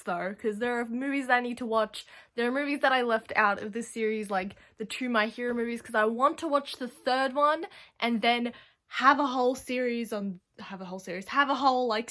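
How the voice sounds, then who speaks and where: A young woman reviewing anime from her bedroom, rambling and laughing often, her voice hoarse after losing it. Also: fairly high pitch, bright, forceful tone. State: hoarse, has lost her voice. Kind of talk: casual video review. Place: bedroom at home.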